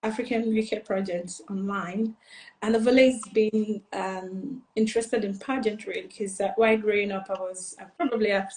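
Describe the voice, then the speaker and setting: A woman's voice: mid-range voice, fairly even intonation, normal speed, a little mellow, echoey room, rough recording. A woman from eastern Nigeria, a pageant finalist, answering interview questions in an earnest, rambling way with many fillers.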